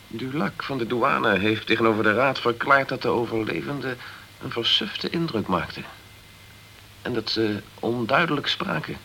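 An actor playing a sceptical male investigator in a radio crime drama, composed and persistent, with measured, pointed questioning. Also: medium pitch, creaky, sharp tone, slight background noise, low-quality recording. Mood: measured, regretful.

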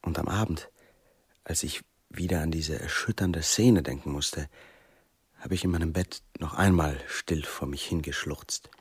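Sensitive middle-aged man, low husky voice, brooding radio play delivery. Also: measured pace, no echo, exaggerated voice. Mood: confiding, melancholy. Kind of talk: radio play acting and monologue.

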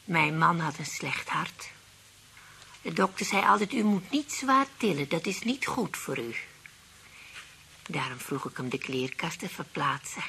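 An actress playing an elderly, cynical widow in a radio play, grumbling in a matter-of-fact, gossipy way with a creaky, raspy voice. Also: female voice, low-pitched, animated, unhurried, pushed and bright, slight background noise, full character voice. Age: older. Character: dry, cynical elderly widow who distrusts men.

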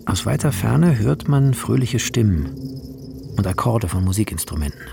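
A man's voice: on the low side, sing-song, moderate pace, gravelly, background noise. A man reading film scene directions as the narrator of a radio play, in clipped, descriptive phrases. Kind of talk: scripted radio drama narration. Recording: music under the voice. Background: music.

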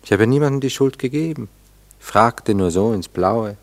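An actor playing an idle, gallant gentleman flirt in a German radio play, teasing and languidly ironic. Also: mid-range voice, expressive, normal speed, close to an everyday voice. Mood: languid, wounded irony.

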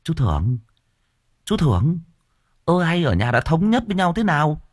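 A man voicing a brash young man, hurried and scolding, raised high above his own voice. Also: big pitch swings. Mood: urging.

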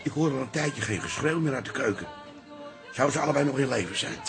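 A man's voice: medium pitch, expressive, quick pace, over music, close to an everyday voice. An actor playing a melodramatic, blustering old father-in-law in a comic radio drama, repeating his words theatrically. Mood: grumbling. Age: older.

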